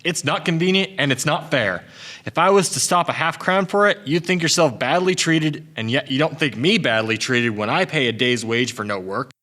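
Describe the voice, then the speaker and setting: A man's voice: on the high side, moderate pace, bright, forceful tone. A man playing a grumpy, miserly old businessman, curt and scornful, in an audio drama. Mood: grudging. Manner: curt, grumbling.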